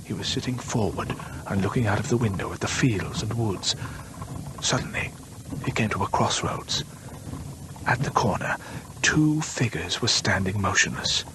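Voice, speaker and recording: An actor playing a grave gentleman storyteller, narrating a radio drama in measured, literary phrases. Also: fairly low pitch, animated, noisy background, natural voice. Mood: grave, pitying. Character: measured gentleman storyteller recounting another man's ghostly fate.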